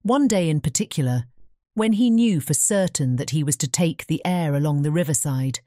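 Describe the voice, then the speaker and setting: A woman's voice: sits low, lively intonation, normal speed, warm tone, no room sound, studio quality. A woman with a British English accent reading scripted fairy-tale narration.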